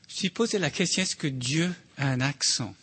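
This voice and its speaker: A man with a slight accent giving a halting, informal sermon reflection with many restarts. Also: fairly high pitch, expressive, a bit bright, slight background noise. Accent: slight foreign accent in French. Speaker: church member sharing a reflection.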